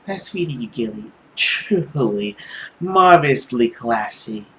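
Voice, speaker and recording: A man voicing a spurned, sharp-tongued woman who is still in love, soft and pointed, in a visual novel. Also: mid-range voice, lively intonation, slow pace, a little hiss or hum. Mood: wounded, sarcastic. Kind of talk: dramatic visual novel reading.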